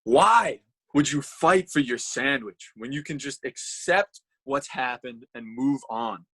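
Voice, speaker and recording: A man voicing a calm coworker and reformed sandwich thief who preaches moving on, in a slightly husky voice. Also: mid-range voice, slow pace, heavily acted. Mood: soothing. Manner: calm, preachy, dramatic swings. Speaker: voice actor. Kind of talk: amateur dramatic reading.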